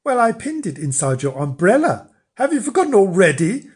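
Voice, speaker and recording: A man doing a storybook nagging wife, raised pitch, husky, bossy, impatient, exasperated. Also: big pitch swings, exaggerated voice. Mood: scolding. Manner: nagging, exasperated, brisk commands. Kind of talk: children's storybook character voice.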